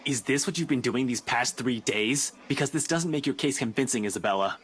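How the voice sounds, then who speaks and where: A curt young cop played by a man who brushes off every plea with clipped exasperation. He stays steady in the middle of his range, impatient and dismissive, in a game cutscene. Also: medium pitch, fairly quick, slight background noise, lightly acted. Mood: irritated. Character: brusque young male police officer. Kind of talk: video game voice acting.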